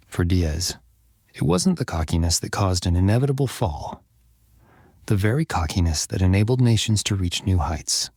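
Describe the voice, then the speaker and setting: A man narrates a dramatic serialized story in a low voice with a husky, breath-worn grain. The recording is dry and close, with no room around the words. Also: a little mellow, no echo. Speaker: audiobook narrator. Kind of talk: scripted dramatic fiction narration.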